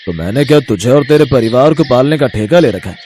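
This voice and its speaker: An actor playing a scornful, callous rich employer with a harsh, dismissive manner in a story. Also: male voice, medium pitch, expressive, brisk, dark tone, slight background noise, close to an everyday voice.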